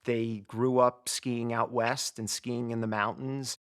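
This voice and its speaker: A man's voice: mid-range voice, little pitch movement, slow, fairly bright tone. A middle-aged man speaking reflectively in a documentary interview. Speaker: interviewee, father.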